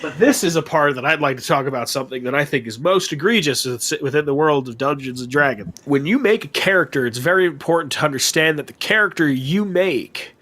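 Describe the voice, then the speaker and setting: A man with a stuffy nose, chatting on a podcast about his tabletop characters, animated and full of swearing. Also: fairly high pitch. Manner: animated storytelling, swearing, quick asides. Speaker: podcast guest. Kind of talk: casual chat about tabletop gaming.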